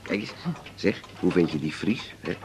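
An actor with a Netherlands Dutch accent playing a gentle, absent-minded older father in a radio drama. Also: expressive, normal speed. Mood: affectionate, absorbed. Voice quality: deep, gravelly. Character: gentle, distracted older father who loves clocks. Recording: background noise of ticking clocks. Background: ticking clocks.

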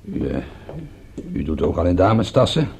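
An actor playing a dry, sardonic private detective who narrates and talks in a radio drama with wry understatement. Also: male voice, low voice, big pitch swings, normal speed, vocal fry, darkish tone, a little hiss or hum, full character voice. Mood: probing, sardonic. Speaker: lead character and narrator.

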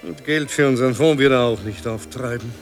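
An actor in a German radio play as a condescending Austrian exile dignitary, suave and dryly dismissive. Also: male voice, mid-range voice, a little fast, faint music behind, close to an everyday voice.

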